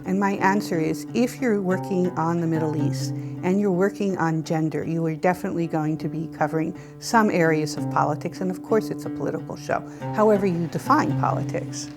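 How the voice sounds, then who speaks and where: A woman's voice: fairly low pitch, brisk. Firm woman over guitar music.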